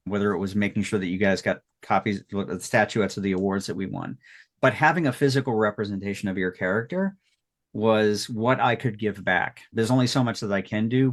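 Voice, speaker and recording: A man speaking earnestly and sentimentally as a podcast creator in an unscripted retrospective, often repeating phrases for emphasis. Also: fairly low pitch. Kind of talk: heartfelt unscripted retrospective talk.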